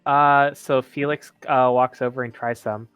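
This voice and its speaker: Clear-voiced tabletop player, medium pitch. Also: fairly even intonation, unhurried, no room sound, dull audio, faint music behind. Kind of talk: casual game chat.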